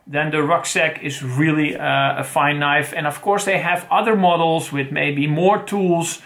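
A man's voice: on the high side, creaky, a bit bright. A middle-aged man casually reviewing pocket knives on his own channel, talking in a loose, rambling way with many fillers and restarts, recorded at home on a desk webcam. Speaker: channel host. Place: home study with bookshelves.

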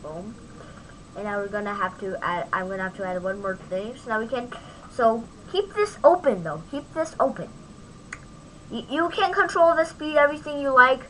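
Bright high-voiced woman hosting, noisy recording. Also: moderate pace. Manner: informal, thinking aloud while demonstrating. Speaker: tutorial host. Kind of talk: casual off-the-cuff screen-recorded software tutorial. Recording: noisy home microphone recording.

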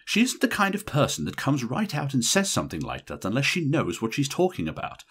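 A man reading an audiobook, voicing a brash, irritable young woman in a bridesmaid's role who talks in a shrill, insistent way. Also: wildly swooping pitch, a little fast, fairly bright tone, a little hiss or hum. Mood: gossiping. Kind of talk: audiobook character voice.

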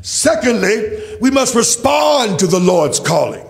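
A male preacher delivers an impassioned sermon in a very high, gravel-edged voice. He declaims emphatically and builds on repeated phrases. Long reverb of a large hall trails his words. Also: very animated, a little slow, slight background noise. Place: large church. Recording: reverberant hall, faint music.

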